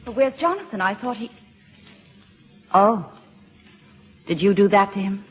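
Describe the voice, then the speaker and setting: An arch, sophisticated dame probes politely in a very husky, lilting voice, in old radio drama style. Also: female voice, mid-range voice, animated, measured pace. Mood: arch, curious. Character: cool, curious, sophisticated society woman. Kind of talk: 1940s radio acting.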